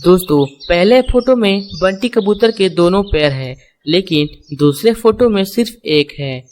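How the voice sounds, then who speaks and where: A woman's voice: mid-range voice, expressive, a little slow, a little mellow, a little hiss or hum. A woman reading scripted children's stories and puzzles, explaining things and speaking directly to the viewers. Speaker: narrator.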